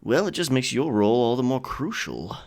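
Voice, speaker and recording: An actor playing a teasing, self-assured pirate sailor in an audio drama. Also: male voice, mid-range voice, very animated, brisk, darkish tone. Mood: matter-of-fact.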